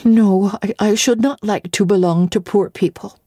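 A young orphan girl from a classic storybook, played by a woman, timid yet proud. The voice is lifted high and soft-edged, with an earnest, halting delivery. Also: steady tone, slightly rough, no echo, clean studio sound, lightly acted. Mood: anxious, proud.

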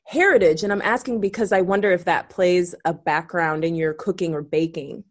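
A woman's voice: fairly low pitch, moderate pace. A woman interviewing a guest in a friendly, curious way and laughing easily. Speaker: podcast host, interviewer. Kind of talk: casual interview.

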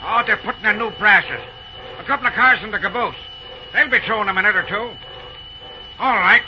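A folksy, cautious railroad fireman, acted by a man talks slangily in a very high, excitable voice. It is old-time radio drama acting, on a muffled recording with clear noise. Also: a little fast, lightly acted. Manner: colloquial, excitable.

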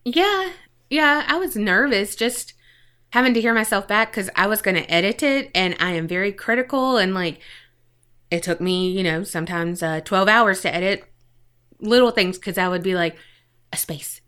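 A woman's voice: medium pitch, lively intonation, normal speed, pushed and bright, clear, resonant tone. A woman co-hosting a podcast in casual, profane banter, blunt and self-deprecating. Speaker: podcast co-host.

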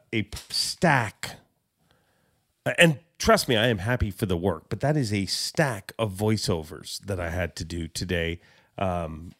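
A man chatting as a podcast host, his voice slightly hoarse and swinging widely in pitch. He talks in a loose, self-mocking, joking way, wandering from point to point. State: a little hoarse. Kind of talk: casual podcast chat.

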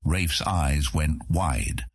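Husky, very low-pitched male fantasy narrator. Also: drawn-out words, dry recording, studio quality. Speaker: audiobook narrator. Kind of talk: scripted fantasy story narration.

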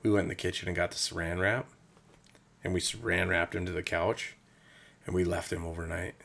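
A man's voice: deep voice, level tone, fairly quick, creaky, fairly bright tone. A man from Indiana with a slight Southern accent, co-hosting a podcast, telling stories and asking his co-host questions. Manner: upbeat storyteller, asks his co-host questions. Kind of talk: casual chat.

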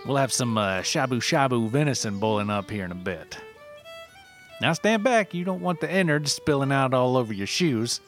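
A man's voice: mid-range voice, animated, moderate pace, faint music behind, natural voice. A man voicing a proud, showy backwoods mountaineer in a mock documentary. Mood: eager, showing off. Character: gruff rural mountaineer man, proud survivalist showing off his skills. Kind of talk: mock nature-documentary character voice.

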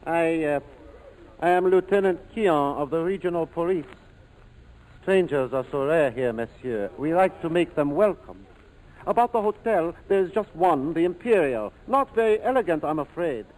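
Male actor as unctuous French colonial policeman, polite, slightly high voice, vintage radio drama. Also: animated, normal speed, darkish tone, natural voice, poor audio. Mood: smoothly polite. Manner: courteous, unctuous. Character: suave, oily French colonial police lieutenant. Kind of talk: 1940s radio drama acting.

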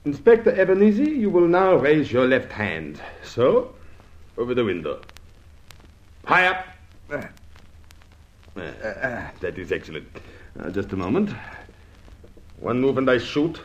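An actor playing a suave, mock-courteous foreign villain in a radio drama, speaking formally and with polite menace. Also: male voice, mid-range voice, huge pitch swings, unhurried, long pauses, muffled recording. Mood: commanding, mocking. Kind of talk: old-time radio drama acting.

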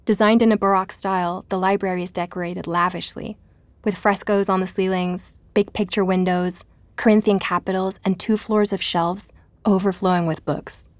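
An actress plays an inquisitive young woman researcher in an audio drama. Her voice sits at a medium pitch, earnest and full of wonder, with a natural delivery. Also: steady tone, normal speed, no room sound, lightly acted. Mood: reflective narration.

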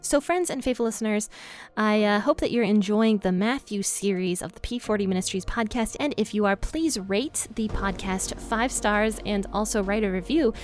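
A woman's voice: mid-range voice, moderate pace, soft background music. A woman hosting a casual Bible study podcast, chatty and conversational, often laughing lightly between thoughts. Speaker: podcast host teaching the Bible.

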